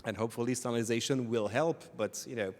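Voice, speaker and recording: A man's voice: medium pitch, fast, a little mellow, a little hiss or hum. A man on a conference panel, speaking frankly and skeptically, often breaking into small chuckles. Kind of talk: candid panel discussion. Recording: reverberant conference hall.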